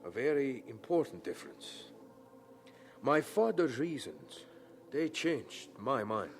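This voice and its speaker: A devout young German student in a radio drama. His delivery is slow and fervent, with wide, expressive swings in pitch. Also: soft background music. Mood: subdued resolve. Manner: earnest, deliberate, fervent.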